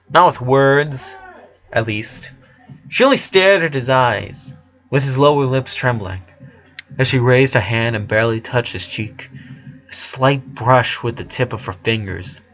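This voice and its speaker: A man's voice: mid-range voice, expressive, unhurried, a bit bright. A man narrating a dramatic written story, occasionally stumbling over his words. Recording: slightly dull lo-fi recording.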